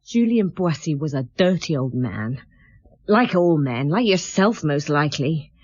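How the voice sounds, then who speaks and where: An actress playing a scornful, bitter married woman in a radio drama, with a defiant, excitable manner. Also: sits low, measured pace, husky, no echo, highs cut off, full character voice. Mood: bitter, defensive. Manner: contemptuous, defiant, excitable when challenged. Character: scornful, bitter married woman confessing under police questioning.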